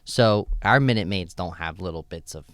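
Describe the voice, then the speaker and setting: A man's voice: low-pitched, moderate pace, no echo, clean studio sound. A man who owns a shop, answering interview questions in a casual, loose way with lots of "right?" and "you know". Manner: relaxed, loose, many fillers and "right?" tags. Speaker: store owner, guest.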